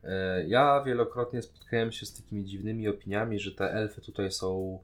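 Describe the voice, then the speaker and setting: A man's voice: on the low side, fairly even intonation, normal speed. A man, co-hosting a podcast in a casual, conversational discussion of opinions. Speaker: podcast co-host.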